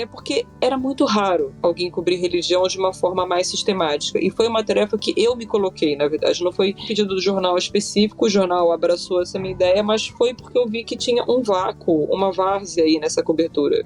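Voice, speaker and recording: A woman's voice: medium pitch, a little mellow. A woman in her thirties with a Carioca accent from Rio, a journalist speaking on a panel in a chatty, thoughtful way with many fillers. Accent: Carioca Brazilian Portuguese. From Rio de Janeiro, Brazil. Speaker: journalist guest. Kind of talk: casual panel conversation.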